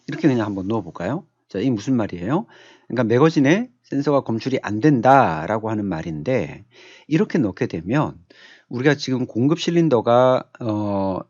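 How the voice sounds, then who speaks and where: A man giving an unscripted software tutorial as an instructor, explaining step by step and asking rhetorical questions. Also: mid-range voice, dry recording, highs cut off. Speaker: automation instructor. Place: dry-sounding voice-over on a screen recording.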